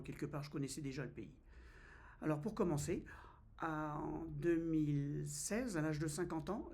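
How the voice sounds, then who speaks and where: A man's voice: on the high side, vocal fry, a little mellow. A man in his fifties from France, vlogging in a casual, hesitant way with many fillers and restarts. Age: 50s. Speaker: travel vlogger. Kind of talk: casual first-person vlog.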